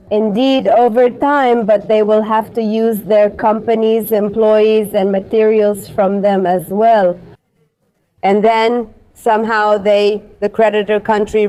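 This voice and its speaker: A woman's voice: medium pitch, warm tone, rough recording. A woman chatting as a travel vlogger, explaining things with care, her nose stuffy and her throat sore, recorded on a clip-on mic in an airport. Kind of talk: casual travel vlog chat. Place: busy airport terminal. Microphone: wireless clip-on mic with noise cancelling.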